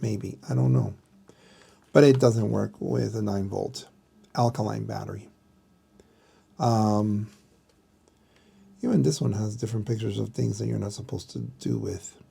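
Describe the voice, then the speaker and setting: A middle-aged man talks through a hands-on demonstration at a slow pace. His voice is relaxed and mellow with plenty of creak, and he speaks haltingly, thinking aloud as he goes. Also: on the low side. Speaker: vlogger showing a vintage radio. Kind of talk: casual hands-on explainer.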